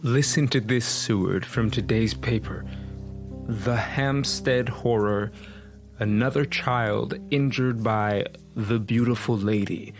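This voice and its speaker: An actor voices an older, learned vampire hunter, grave and insistent. He speaks slowly in a middle register, pressing each point emphatically in gothic radio-play style, with faint music behind. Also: medium pitch, natural voice. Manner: deliberate, emphatic, rhetorical questioning. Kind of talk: gothic audio drama acting.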